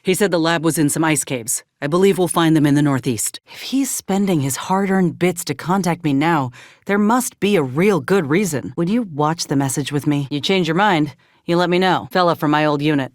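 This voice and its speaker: A woman's voice: sits low, no echo, natural voice. Woman acting as a folksy space frontier ex-soldier gal, earnest n steadfast, plain talker, game dialogue, super dry sound. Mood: earnest, hopeful, then wistful, reserved. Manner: folksy, plainspoken, measured delivery. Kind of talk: video game character dialogue.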